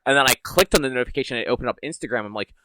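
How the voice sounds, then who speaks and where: A man hosting a casual gaming podcast, chatting loosely with frequent swearing and quick excited bursts. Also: mid-range voice, a little hiss or hum, poor audio. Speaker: podcast host. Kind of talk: casual chat. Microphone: home podcast mic on a laptop.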